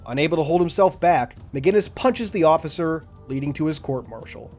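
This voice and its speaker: A man hosting a lore video, narrating and analyzing in a measured, explanatory way. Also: on the high side, animated, a little mellow, faint music behind.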